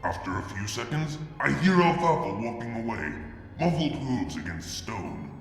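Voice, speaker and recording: A man reading a fan fiction story aloud, in a measured, expressive way. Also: mid-range voice. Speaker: fanfic reader. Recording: echoey room with music. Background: music.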